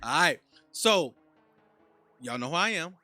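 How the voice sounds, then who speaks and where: A man's voice: on the high side, animated, unhurried, sharp tone, faint music behind. A man hosting a casual podcast interview, hyped and profane, repeating lines for emphasis. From Chicago south suburbs. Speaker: podcast host.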